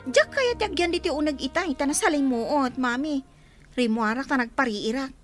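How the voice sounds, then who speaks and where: A woman's voice: sits high, animated, moderate pace, faint music behind. A woman playing a whiny, jealous young daughter in a radio drama, plaintive and insistent. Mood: resentful, whining. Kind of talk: Filipino radio drama acting.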